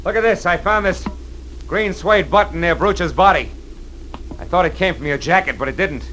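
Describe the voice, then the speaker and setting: An actor playing a tough, steady private detective in 1940s radio style, clipped and commanding, narrating and talking in character. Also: male voice, high voice, normal speed, a little hiss or hum, rough recording. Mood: persuading. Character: tough, steady male private detective, hardboiled but decent. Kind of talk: 1940s radio acting with first-person narration. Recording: old radio drama recording with music.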